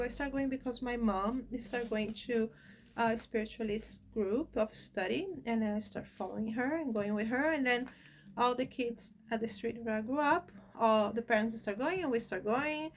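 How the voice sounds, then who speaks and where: A Brazilian woman in her forties speaks English as a second language, with a Portuguese accent and a slightly high voice. She talks to camera at an easy pace, often stopping with fillers to search for words, and a faint hiss sits under the voice. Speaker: channel host. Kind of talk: personal talk to camera.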